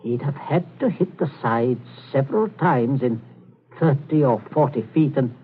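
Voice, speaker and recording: Pompous, husky father, tinny radio. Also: medium pitch, expressive, moderate pace. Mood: calculating, impatient. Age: middle-aged. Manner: pompous, scolding, clipped orders. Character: stern middle-class father, pompous, snappish, obsessed with respectability. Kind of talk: 1940s radio thriller acting. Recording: tinny old 1940s radio broadcast.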